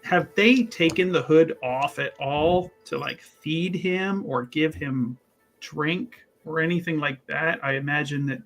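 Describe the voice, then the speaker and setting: A man with a fairly high voice talks through his moves in a tabletop roleplaying game. He is wordy and casual, reworking his phrases as he thinks aloud. Soft music plays behind him. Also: measured pace.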